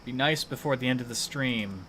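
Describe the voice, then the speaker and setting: A man's voice: medium pitch, moderate pace, bright, forceful tone, a little hiss or hum, low-quality recording. A man chatting casually and thinking aloud as he streams a video game, in a playful manner.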